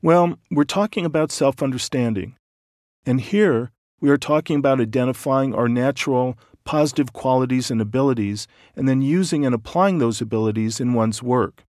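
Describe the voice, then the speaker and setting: Man, audiobook narrator, calm, measured, explanatory, dry close recording. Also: medium pitch, no echo.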